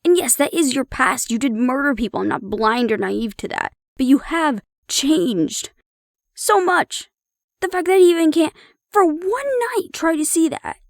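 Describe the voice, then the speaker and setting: A woman's voice: sits high, creaky, no echo, clean studio sound. A woman acting in an audio roleplay as a young adult daughter, defiant, loyal and argumentative, with an emotional, sarcastic edge. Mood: upset, tearful.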